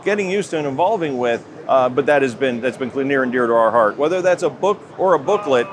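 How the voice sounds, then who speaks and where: A middle-aged American man answering interview questions as a company executive in measured corporate talk. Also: mid-range voice, lively intonation. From United States. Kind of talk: trade show interview. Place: trade show floor. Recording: noisy show-floor ambience.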